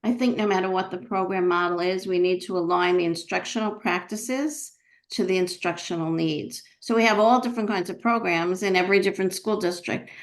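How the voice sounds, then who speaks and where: A woman talks as a guest author in an education interview. She speaks earnestly and steadily, with a slightly low voice, before handing the question to her co-author. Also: brisk. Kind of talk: podcast interview.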